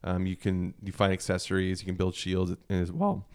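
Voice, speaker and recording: A man in casual conversation about video games as a podcast panelist, explaining things in a halting, thinking-aloud way. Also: low-pitched, completely flat delivery, darkish tone.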